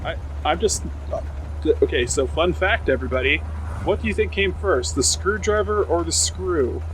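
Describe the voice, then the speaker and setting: A man playing a tabletop roleplaying game, talking casually and haltingly as he thinks aloud. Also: fairly high pitch, animated, fairly bright tone, a little hiss or hum, poor audio. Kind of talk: casual in-character game talk.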